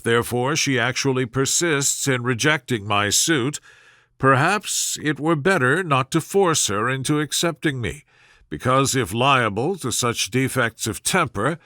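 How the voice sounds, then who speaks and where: A man's voice: mid-range voice, animated, unhurried, pushed and bright, no echo, studio quality. A man voicing a pompous, self-important suitor from a classic novel, speaking in wordy, formal phrases. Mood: affronted pomposity. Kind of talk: storybook character voice in classic novel reading.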